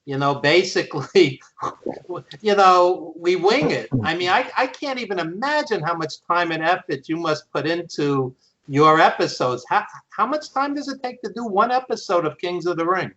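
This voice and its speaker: A man's voice: high-pitched, lively intonation, normal speed, fairly bright tone. A man hosting a podcast, interviewing casually in an off-the-cuff, conversational style. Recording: dull lo-fi call audio.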